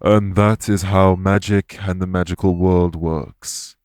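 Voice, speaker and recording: Man acting a low, solemn elven former king. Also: steady tone, darkish tone, no echo. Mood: calm, earnest. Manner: formal, measured, stately wording. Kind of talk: scripted audio roleplay acting.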